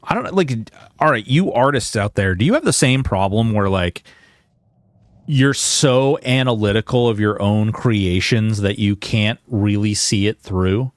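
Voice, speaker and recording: A man in his early forties chatting casually and haltingly to livestream viewers while gaming, informal and self-deprecating, with some mild swearing. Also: on the low side, no echo. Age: early 40s. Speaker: game livestreamer.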